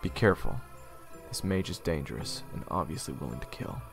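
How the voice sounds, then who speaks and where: A man playing a grim, watchful adventurer who narrates a fantasy audio drama gravely and deliberately. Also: very deep voice, lively intonation, heavily acted. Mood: grim, wary. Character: grim, watchful male fantasy adventurer telling his tale. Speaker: narrator and character. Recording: music playing behind. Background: background music.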